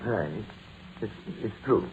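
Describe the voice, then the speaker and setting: An actor playing a friendly, plain-spoken Roman legionary with archaic phrasing in an old radio drama. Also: male voice, fairly low pitch, animated, slow pace. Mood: subdued, wistful. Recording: tinny, noisy vintage radio recording.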